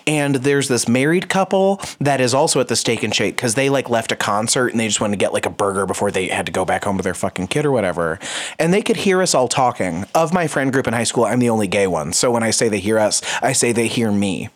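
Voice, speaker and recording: Man in his twenties, lively swooping voice, crude joking storyteller, casual chat. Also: medium pitch, fast talker. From Illinois.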